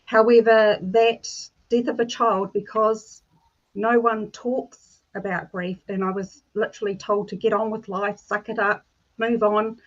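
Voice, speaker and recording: A woman from New Zealand, a life coach, telling her personal story in a measured way over a video call. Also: medium pitch, level tone, lo-fi sound.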